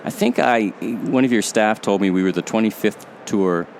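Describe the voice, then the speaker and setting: Low-voiced male podcast host. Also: normal speed, a little hiss or hum. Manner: warm-up restarts, hesitant "uh" in questions. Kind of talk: scripted intro, then interview chat. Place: open-roof podcast booth at a trade show.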